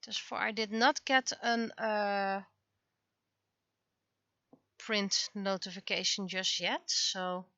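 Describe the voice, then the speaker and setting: A bright-voiced woman thinks aloud on a casual art livestream, speaking slowly and haltingly, half to herself. The sound is very dry and a little dull. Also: mid-range voice, steady tone, dry recording. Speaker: livestreaming webcomic artist. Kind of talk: casual livestream chat while working.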